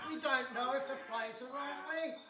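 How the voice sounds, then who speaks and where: A woman's voice: high voice, moderate pace, crowd noise, close to an everyday voice. A woman playing a cheeky, heckling sidekick in a theatrical live radio play. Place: hall stage before a live audience. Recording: distant echoey hall, heavy noise.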